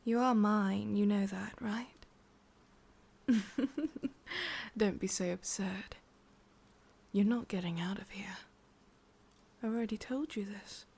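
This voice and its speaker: A woman's voice: mid-range voice, steady tone, slow pace, creaky, long pauses, a little mellow, husky, heavily acted. A woman with an English accent playing a possessive, sadistic ancient witch in a whispered ASMR roleplay, coaxing and controlling. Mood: possessive, teasing.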